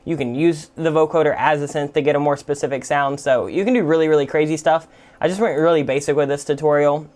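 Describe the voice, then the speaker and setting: A man with a somewhat high-set voice walks through a software tutorial. He talks informally and off the cuff, explaining each step with lots of loose, conversational phrasing. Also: steady tone, fast talker. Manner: informal, explanatory, full of "like" and "kind of". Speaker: music production tutorial host. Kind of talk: casual, unscripted software tutorial.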